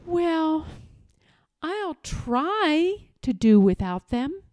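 A woman storyteller puts on a mournful storybook king. He is childlike and plaintive, pitched well up, with big swoops in his voice. The recording is dry and close. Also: sing-song, extremely slow, a little mellow, no echo, heavily acted. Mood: heartbroken. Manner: wailing, sighing, plaintive.

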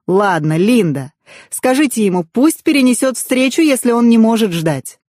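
A woman's voice: fairly high pitch, lively intonation, moderate pace, a little mellow, no room sound, studio quality, voice pushed up. A woman voicing an arrogant, wealthy, angry businessman in an audiobook, curt and scornful. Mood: curt, impatient.

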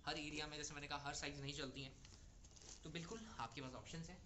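A man's voice: fairly high pitch, fairly even intonation, fast talker, background noise. A young man presenting garment stock to retail buyers in a persuasive, reassuring sales patter, recorded in a clothing warehouse. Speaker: wholesale seller presenting stock. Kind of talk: product showcase pitch to retailers.

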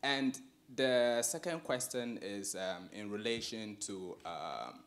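Man from Ghana, 40s, audience question, deep-ish voice, polite, lots of ums. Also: lively intonation, slow, a bit bright. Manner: polite, hesitant, many "um"s. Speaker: audience member asking a question. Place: lecture theatre.

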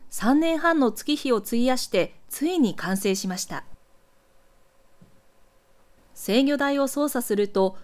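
A woman with a fairly high voice narrates archival news footage. She reads from a script in a measured, explanatory manner, enunciating each phrase clearly. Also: a little mellow.

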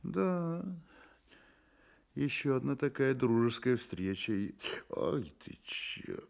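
A man's voice: medium pitch, very animated, takes it slowly, creaky, full character voice. An actor playing a private detective in a radio drama, wry and offhand as he probes and muses. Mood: wry musing.

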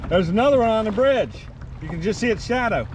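A man with a slightly high voice narrates his kayaking outing in an easygoing, chatty way. He talks outdoors into an action camera while standing in shallow sea water, and splashing water and open-air noise sit clearly behind him. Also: lively intonation, fairly quick. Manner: easygoing, chatty, laughs often. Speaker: kayaking channel host. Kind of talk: casual outdoor vlog narration.

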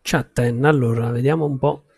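A man chatting casually with viewers as he livestreams a game, haltingly thinking aloud. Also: mid-range voice, steady tone, vocal fry, a little mellow, slightly rough, no echo, studio quality. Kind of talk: casual chat with stream viewers while gaming.

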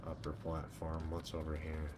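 A man's voice: extremely low pitch, monotone, normal speed, heavy background noise. A man doing casual, sweary let's-play commentary, muttering to himself as he plays. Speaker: streamer playing a horror game. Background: horror game ambience.

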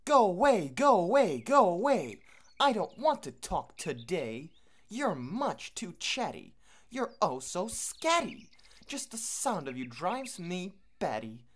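A grumpy grey storybook bird , acted out by a woman, shrill and high. She scolds and shoos in emphatic singsong rhyme, with big swoops in pitch. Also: sing-song, slow pace, slightly rough, exaggerated voice. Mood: irritated, scolding.

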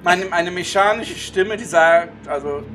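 A man's voice: sits high, unhurried. A man narrating a tabletop game as game master over a group video call. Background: music.

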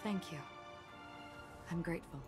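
An actress voicing a solemn, composed woman in a video game, speaking in a formal, measured way. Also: close to an everyday voice. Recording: game music behind the voice.

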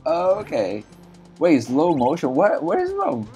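A man from Brazil whose first language is Portuguese, chatting casually in halting English with many fillers and frequent laughs while streaming a game. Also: on the high side, huge pitch swings, dark tone, faint music behind. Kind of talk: casual live-stream commentary.

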